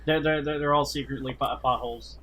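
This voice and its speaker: A man's voice: mid-range voice, fairly even intonation, a little fast, a loud crowd. A man co-hosting a podcast with casual, joking chat, stammering and repeating syllables and words as he speaks. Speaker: podcast co-host.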